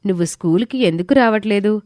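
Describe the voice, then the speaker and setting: A woman plays a caring female schoolteacher in an animated moral tale. She sounds supportive and concerned, slightly raised in pitch, on a dry recording. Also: quick pace, no echo, lightly acted. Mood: kindly, supportive. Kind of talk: animated moral story character voice.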